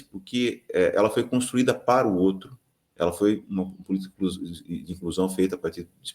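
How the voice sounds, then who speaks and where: A man acting as mediator, introducing an academic panel in a measured way with frequent tag questions. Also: low voice, a little mellow.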